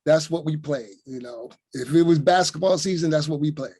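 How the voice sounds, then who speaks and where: A man's voice: a little high, brisk, warm tone, husky, no echo, studio quality. An older man from Newark, a retired coach, telling easygoing stories about his childhood over a video call. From Newark, New Jersey. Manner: easygoing, leans on "you know what I'm saying". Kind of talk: relaxed storytelling.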